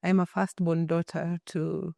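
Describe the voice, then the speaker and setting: A Kenyan woman in her thirties, telling her life story as an interview guest in an earnest, expressive way with many restarts. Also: fairly low pitch, measured pace, gravelly, no room sound. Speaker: guest, shelter founder.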